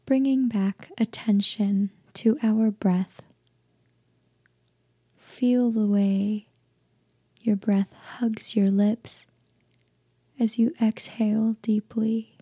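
Female meditation guide, soft half-whisper, very warm and relaxed tone, gentle slow delivery, close dry sound. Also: on the high side, slightly rough, no room sound. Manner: gentle, hushed, deliberate with long pauses.

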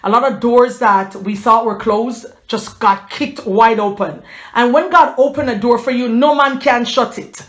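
A woman's voice: on the high side, measured pace, a bit bright. A woman in her forties giving a fervent, chatty inspirational faith talk to her viewers, with a preachy edge and frequent restarts. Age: 40s.

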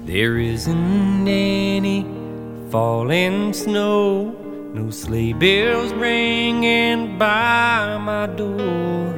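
A woman singing a country song in slow, drawn-out phrases. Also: mid-range voice, lively intonation. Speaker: singer. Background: country band with guitar.

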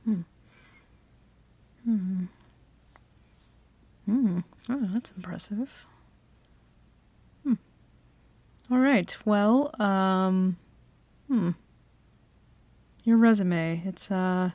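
A bossy female CEO interviews a new applicant in a flirty ASMR roleplay, voiced by a woman. She talks slowly and softly, trailing off into fillers and teasing pauses. The sound is close and dry, with no room around it. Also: medium pitch, dry recording. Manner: soft, hesitant with many fillers, teasing.

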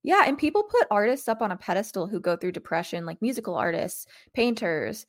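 A woman's voice: mid-range voice, very animated, a little fast. A woman in her twenties co-hosting a podcast, speaking earnestly and openly in casual conversation. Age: 20s. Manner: earnest, open about feelings. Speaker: podcast co-host.

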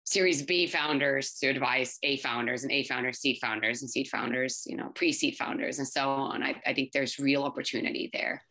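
A woman in her thirties, English not her first language, giving quick, chatty interview answers full of fillers and restarts. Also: extremely low pitch, pushed and bright. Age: 30s. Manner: fast, filler-heavy, many restarts. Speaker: startup founder.

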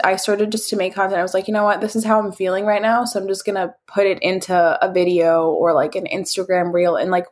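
A woman with a mid-range voice speaks as an interview guest. She talks in a candid, thoughtful way, often pausing and stringing thoughts together with "like" as she explains herself. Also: medium pitch. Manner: reflective and candid, heavy use of "like". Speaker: interview guest, social media creator. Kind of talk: casual interview chat.

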